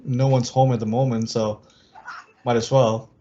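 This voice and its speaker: A man co-hosting a podcast from home, chatting in a relaxed way and laughing often over a video call. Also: mid-range voice, moderate pace. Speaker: podcast co-host. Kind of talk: casual chat. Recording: dull lo-fi call audio.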